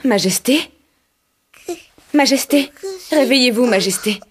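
A woman playing an anxious, devoted attendant of a queen in a French cartoon dub, gentle in manner. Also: fairly high pitch, measured pace, slight background noise, close to an everyday voice. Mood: worried. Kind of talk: French animated film dub.

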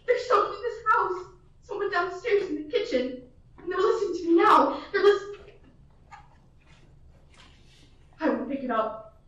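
A woman's voice: very high voice, expressive, fairly quick, fairly bright tone. A teen girl playing a frantic invalid woman. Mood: frightened. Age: teens. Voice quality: anxious, breathless, pleading. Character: frantic bedridden woman alone at night. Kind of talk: school radio play acting. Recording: echoey, distant, dull classroom recording.

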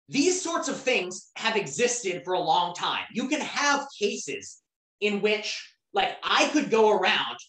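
A woman's voice: mid-range voice, fairly bright tone. Lady teacher on a video call, sounds far off in an echoey room, chatty, keeps asking questions. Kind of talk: casual online class lecture. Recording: roomy, distant from the mic.

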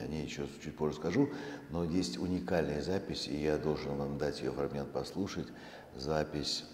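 A middle-aged man giving a public lecture as a presenter on stage, speaking in a measured, deliberate way with pauses mid-phrase. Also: very deep voice, a little mellow. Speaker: museum director presenting a project. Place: large concert hall stage, indoors. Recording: echoey hall, faint background noise.

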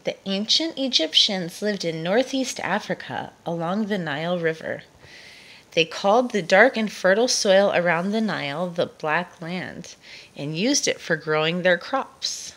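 A woman reading a children's nonfiction book aloud to a child in a measured storytelling delivery. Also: lowish voice, animated, fairly bright tone.

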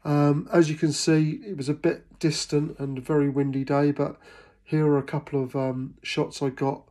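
A man's voice: fairly high pitch, little pitch movement, normal speed, warm tone. Middle-aged English man, hesitant and enthusiastic. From England. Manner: hesitant, many ums and uhs, enthusiastic. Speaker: birding vlogger. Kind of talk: casual voice-over narration.

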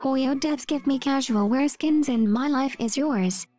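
A woman narrates short scripted captions in a voice-over. Her voice sits high, with a soft, mellow tone that has little edge to it. Faint music plays behind her. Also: measured pace. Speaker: meme video narrator. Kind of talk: scripted voice-over reading memes aloud.

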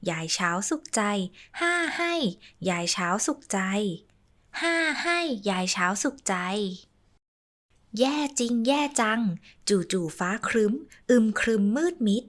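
A woman narrating a rhyming children's story in a sing-song, lively way, adding playful sound effects. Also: medium pitch, slow.

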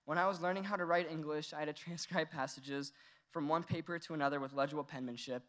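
A man's voice: fairly high pitch, fairly even intonation, quick pace, fairly bright tone. A teenage man from Iran giving a valedictorian speech from a podium mic on a large indoor stage, earnest with touches of humor. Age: teens. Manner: earnest, with light humor and laughs. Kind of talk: graduation speech.

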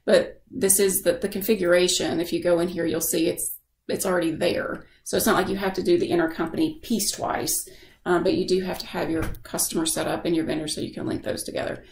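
A woman giving a casual software tutorial as a screen-share voice-over, explaining informally and thinking aloud. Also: on the low side, level tone, fairly quick, gravelly, reverberant, slight background noise. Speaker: software instructor.

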